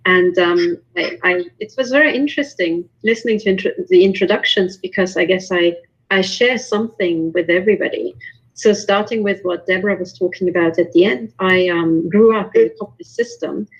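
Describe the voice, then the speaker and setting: Woman, lowish voice, husky edge, earnest halting testimony, dull online call sound. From born in Romania, later moved to Germany. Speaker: invited panel guest, researcher. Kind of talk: personal testimony in an online panel discussion.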